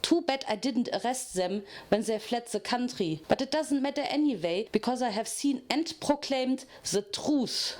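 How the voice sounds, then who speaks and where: A woman's voice: on the high side, expressive, moderate pace, few pauses, a little mellow, lightly acted. A woman voicing a fanatical, theatrical pony official who proclaims pompously in a dramatic fan fiction reading. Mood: smug.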